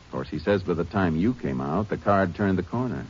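A steady texas ranger, real low voice, old radio drama sound with hiss. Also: male voice. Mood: steady questioning. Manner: measured, patient questioning. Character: calm, steady male Texas Ranger lawman. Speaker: narrator and lead. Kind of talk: 1950s radio drama acting. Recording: dull old radio broadcast with faint hiss.

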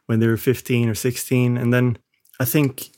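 A man's voice: medium pitch, little pitch movement, moderate pace, darkish tone. A man who grew up in Malaysia, a film composer interviewed from his home studio, answering casually and reflectively with frequent restarts.

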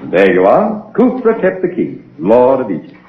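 An actor playing a learned, courteous archaeologist with formal diction in a 1930s radio drama. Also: male voice, medium pitch, wildly swooping pitch, moderate pace, background noise. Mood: formal, gracious. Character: learned, courteous expedition director, male doctor of archaeology. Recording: tinny, band-limited 1930s radio.

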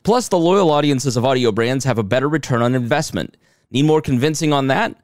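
A man's voice: mid-range voice, brisk, dry recording, clean studio sound. A middle-aged man hosting a podcast, reading promos and announcements. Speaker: podcast host.

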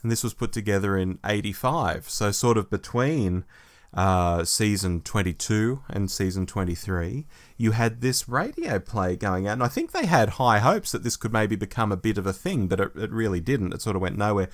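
A man's voice: on the low side, animated, normal speed, no room sound, studio quality. An Australian man in his forties co-hosting a podcast in a casual, reflective, conversational style. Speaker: podcast co-host. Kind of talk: casual chat, discussion.

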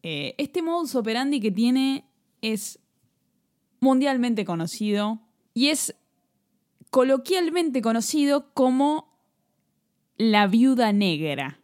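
A woman's voice: on the high side, sing-song, slow pace, pauses often, a little mellow. Chatty Argentine woman podcaster. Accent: Argentine Spanish. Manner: chatty, laughs often, trails off and restarts. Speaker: podcast co-host telling the case. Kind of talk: casual true-crime chat.

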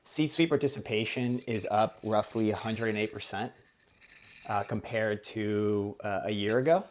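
A male executive with a somewhat low voice, a native speaker of Portuguese, answering as a podcast guest. He explains things in a measured, conversational manner. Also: slight background noise. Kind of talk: conversational business interview.

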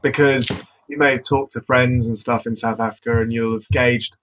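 A man hosts a conversational podcast. He asks long, curious questions and often stops to rephrase them. His voice sits at an average pitch for a man. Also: steady tone, normal speed, noisy background. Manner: curious, rambling questions with many restarts. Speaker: podcast host. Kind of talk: conversational interview.

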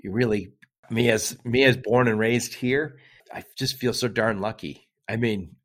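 A man's voice: fairly low pitch, unhurried, a bit bright. A man hosting a podcast, asking rambling conversational questions with false starts. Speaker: podcast host. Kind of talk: podcast interview.

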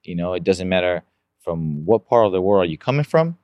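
Deep-voiced Colombian man, dry close sound. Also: animated, dry recording, lo-fi sound. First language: Spanish. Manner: halting, restarts sentences, non-native grammar. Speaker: guest, amateur bike racer. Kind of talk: casual interview chat.

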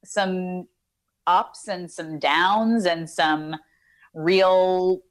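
Lower-voiced woman in her thirties from Queens, chatty and self-deprecating, guest on a video call. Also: steady tone, extremely slow. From Queens, New York. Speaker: actress guest. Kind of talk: casual interview over video call.

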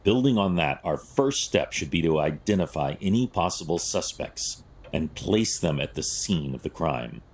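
An actor playing a stern, analytical vigilante detective in an audio drama, terse and clinical, with a gravelly voice. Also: male voice, extremely low pitch, sing-song, moderate pace, a little mellow, heavily acted. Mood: stern, decisive.

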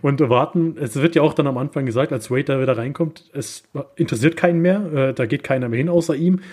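A man co-hosting a casual film discussion podcast, speaking haltingly as he searches for his words. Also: mid-range voice, a little mellow. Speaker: podcast co-host.

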